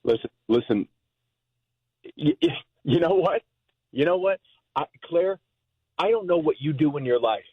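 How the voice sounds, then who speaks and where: A man talking in a phone conversation in a halting way, often repeating his words. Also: on the high side, expressive, husky, no room sound, phone-quality sound. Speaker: prank call target.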